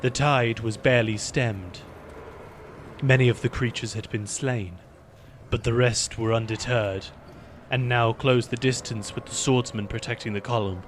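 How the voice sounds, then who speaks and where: A man narrating a fantasy battle in measured, scripted storytelling for a fan audio drama. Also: medium pitch, steady tone, a little hiss or hum.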